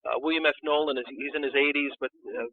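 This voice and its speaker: A man's voice: a little high, steady tone, quick pace. Man on a tinny phone line. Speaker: interview guest, book publisher. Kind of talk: casual conversational interview answers.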